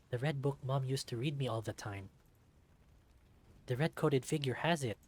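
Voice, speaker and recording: A man's voice: mid-range voice, a little mellow. Man dramatically narrating a story. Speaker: visual novel reader.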